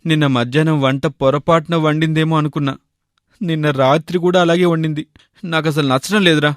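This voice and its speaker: A man's voice: fairly high pitch, fairly even intonation, a little fast, dry recording, clean studio sound, close to an everyday voice. A man playing a food-loving young husband and shopkeeper, grumbling yet tactful, in a cartoon moral story. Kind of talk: animated moral story dialogue.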